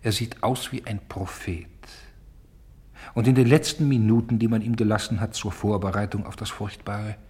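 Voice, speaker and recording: A man playing a solemn narrator who embodies Time in a radio drama, with a husky voice and a grave, incantatory delivery. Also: on the low side, steady tone, moderate pace, a little mellow. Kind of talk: scripted literary narration.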